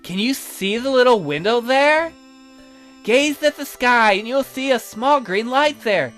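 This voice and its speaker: A man's voice: very high-pitched, lively intonation, measured pace, fairly bright tone, faint music behind, exaggerated voice. A man voicing a condescending, sardonic castle magician in a visual novel, haughty and scornful as he explains things. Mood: disdainful.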